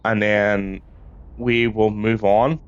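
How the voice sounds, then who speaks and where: Slightly hoarse man chatting over a game. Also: fairly low pitch, flat delivery, slow pace, no echo, highs cut off. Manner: rambling, full of ums. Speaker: gaming YouTuber. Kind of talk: casual chat over gameplay.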